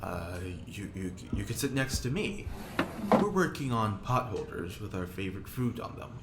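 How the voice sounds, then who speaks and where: Man acting a fussy, courteous knitter, lively pitch. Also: normal speed, roomy sound, very noisy. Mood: kindly, encouraging. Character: genteel, encouraging male prisoner leading a knitting circle. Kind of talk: audio drama acting.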